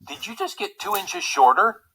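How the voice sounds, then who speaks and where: A pampered, self-doubting young prince from a storybook, acted out by a man reading aloud. He sounds sorrowful and sulky, exclaiming plaintively with animated pitch and a slightly rough, husky tone. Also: normal speed, heavily acted. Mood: sulky, bewildered.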